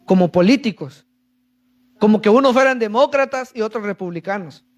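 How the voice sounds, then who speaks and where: A man's voice: high-pitched, normal speed, dull audio. A man preaching a sermon to a congregation, reading verses and explaining them, calling for answers. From Central America. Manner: reads scripture then explains, asks congregation for answers.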